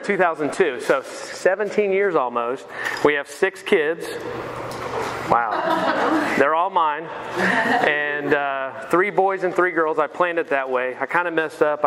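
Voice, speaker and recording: A man's voice: medium pitch, noisy background. A man in his thirties, originally from Pensacola, Florida, giving a casual finance seminar as a mortgage sales manager. He is chatty and self-deprecating and keeps asking the audience questions. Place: lecture room.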